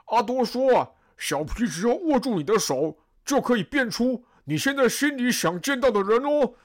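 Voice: medium pitch, expressive, moderate pace, close to an everyday voice. An actor doing cheerful loyal bodyguard Xu Chu. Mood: cheerful coaxing. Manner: eager, coaxing, sing-song. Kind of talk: audio drama acting.

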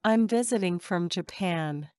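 A woman reads short practice sentences in a measured, careful way, each one repeated. Her voice is smooth and resonant, pitched a little low. The sound is dry and close, with no room around it. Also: darkish tone, no echo. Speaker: English phrase reader. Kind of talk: slow repeated drill phrases.

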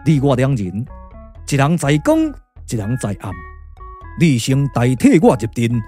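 A man with a Taiwanese accent voicing a solemn, calculating sage strategist in a puppet radio drama, speaking slowly, deliberately and persuasively. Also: mid-range voice, big pitch swings, warm tone, faint music behind. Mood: earnest persuasion. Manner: slow, deliberate, weighty delivery.

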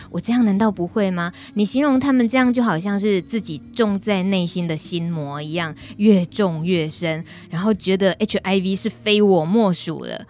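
A woman's voice: mid-range voice, darkish tone. Female radio host, middle register, Taiwanese Mandarin accent, conversational interviewing.

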